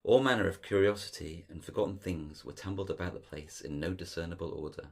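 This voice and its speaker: A man narrating an audio drama in a measured, lyrical, literary storytelling style. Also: deep voice, husky.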